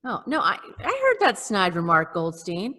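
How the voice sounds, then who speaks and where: Animated woman in casual chat. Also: lowish voice, moderate pace. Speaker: podcast co-host. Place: video call from lockdown.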